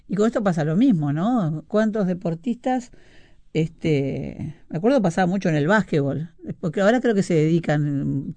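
A middle-aged Uruguayan woman hosts a relaxed radio show, chatting freely and reading listener messages with frequent fillers and little laughs. Her voice is dark and mellow, recorded dry and close with no room around it. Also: sits low, dry recording. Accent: Uruguayan Spanish. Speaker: radio host.

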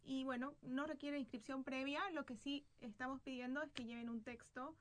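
Woman poet as interview guest, Bolivian accent, raised pitch, chatty unscripted delivery. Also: fairly even intonation, moderate pace, slight background noise. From Santa Cruz, Bolivia. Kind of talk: casual conversational interview.

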